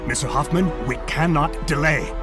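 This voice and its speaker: Man acting high, urgent commanding ship's officer. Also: moderate pace, lightly acted. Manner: shouting orders, urgent. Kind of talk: audio drama acting. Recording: loud dramatic music behind the voice.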